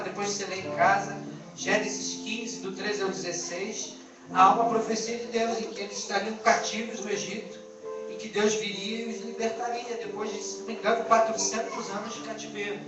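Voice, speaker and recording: A man's voice: high voice, steady tone, a little fast, muffled recording. A man with a Brazilian Portuguese accent preaching an informal, exhortative sermon as a pastor, using colloquial language, repetition and rhetorical questions. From born in Angola, lived in Brazil. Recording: echoey distant band-limited live stream, music behind.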